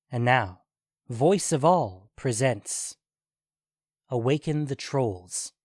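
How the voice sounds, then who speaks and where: A man's voice: fairly high pitch, no echo, clean studio sound. A man narrating a scripted fantasy story as the reader of a story podcast. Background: music.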